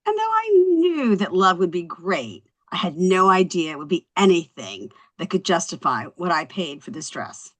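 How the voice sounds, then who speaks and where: An actress playing a brash, quick-witted young wife in a scripted comedy table read. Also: female voice, lowish voice, very animated, fairly bright tone. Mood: proud, speechmaking.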